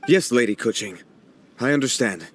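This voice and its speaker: A man's voice: medium pitch, expressive, moderate pace, fairly bright tone. A man playing a polite, anxious subordinate clerk in a video game, deferential, hesitant and easily flustered. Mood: nervous, deferential. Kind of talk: scripted video game dialogue.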